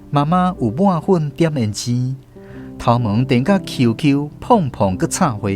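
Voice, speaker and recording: A man with a Taiwanese accent narrating a scripted children's radio story in an expressive storytelling manner. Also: mid-range voice.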